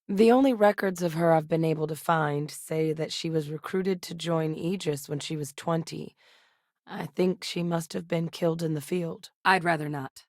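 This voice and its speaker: An actress playing a grave, dedicated woman scientist in a space-faring military, speaking in a measured, articulate way as video game dialogue. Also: sits low, no room sound, studio quality.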